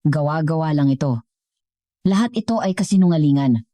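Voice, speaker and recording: A woman's voice: low-pitched, lively intonation, fairly quick, dark tone, no room sound, studio quality. A woman voicing a scheming, defensive male vice president, indignant in an audio story drama. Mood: indignant denial.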